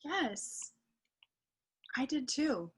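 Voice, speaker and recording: A woman playing a sincere, gently encouraging friend, earnest and measured, in a theatrical drama. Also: high-pitched, sing-song, heavily acted. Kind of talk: theatrical musical drama acting.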